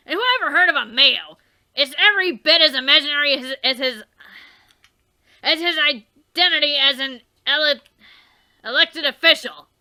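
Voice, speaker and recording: A woman voicing a furious shouting male troll youth who rants in an overwrought way. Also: high voice, exaggerated voice. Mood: indignant. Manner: ranting, bellowing, overwrought.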